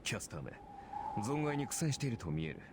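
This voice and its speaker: An actor playing a composed, sardonic, battle-worn warrior in a Japanese visual novel, speaking in a dry, formal, measured way. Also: male voice, mid-range voice, big pitch swings, noisy background. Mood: cool, calculating.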